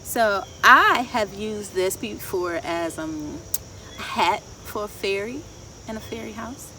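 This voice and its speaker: A woman in her thirties presenting to young children outdoors, asking them questions in an expressive sing-song way. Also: medium pitch, takes it slowly. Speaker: children's story time host and garden educator. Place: outdoors in a wooded garden. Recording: clear outdoor background noise, insects chirring. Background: insects and outdoor ambience.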